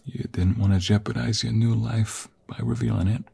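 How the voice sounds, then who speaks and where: Man playing older mob father, very husky, creaky, measured, stern yet tender, intimate ASMR drama. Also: on the low side, steady tone, warm tone, heavily acted. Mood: tender, proud. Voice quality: husky, gravelly. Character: ageing mobster father, weary, stern but softening. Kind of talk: intimate ASMR audio roleplay acting.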